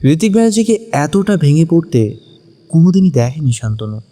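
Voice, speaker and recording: A man's voice: fairly high pitch, big pitch swings, a little fast, mellow. A man narrating a scripted suspense story dramatically in Bengali. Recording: long reverberant hall echo.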